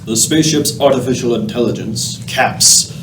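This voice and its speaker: A man's voice: mid-range voice, normal speed, lightly acted. A man giving scripted, dramatic narration as a narrator in a radio play. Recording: roomy, off-mic, faint noise.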